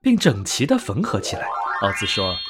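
A man narrating a scripted bedtime story for children in an expressive, clearly phrased way. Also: on the low side, normal speed. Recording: soft music under narration.

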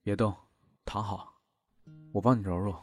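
An actor playing a gentle, indulgent and protective police boyfriend in an audio drama. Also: male voice, fairly low pitch, steady tone, normal speed, faint music behind, lightly acted. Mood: tender, concerned.

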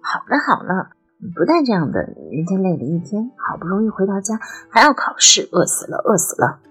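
A woman playing a lively, playful and chatty nineteen-year-old girl in an audio drama. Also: mid-range voice, brisk, faint music behind, low-quality recording. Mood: whining playfully. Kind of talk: Chinese audio drama acting.

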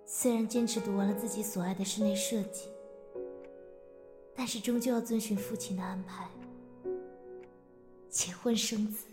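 An actress playing a gentle, dutiful daughter of twenty-five in an audio drama, earnest and resigned. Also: female voice, medium pitch, steady tone, normal speed, pauses often, music in the background, lightly acted. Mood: resigned, wistful. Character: dutiful, resigned 25-year-old daughter, designer. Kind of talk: Chinese audio drama acting.

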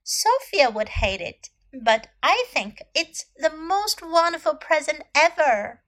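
A woman's voice: high voice, big pitch swings, slow pace, fairly bright tone, pitched above the performer's own voice, full character voice. A woman voicing a proud, dramatic young girl in a storybook, speaking emphatically and theatrically. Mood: delighted.

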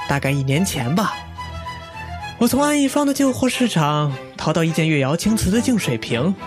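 Guy doing keen young antiques seller, radio drama, really animated storyteller voice, music behind it. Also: high-pitched. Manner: expressive storytelling. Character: young foreign antiques dealer, enthusiastic, shrewd connoisseur. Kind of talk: Chinese audio drama acting.

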